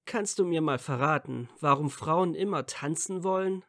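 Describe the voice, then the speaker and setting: An actor playing an earnest, playful young wizard hero in a fan fiction audio drama, sincere and bantering. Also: male voice, a little high, normal speed, fairly bright tone, no echo. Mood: casual.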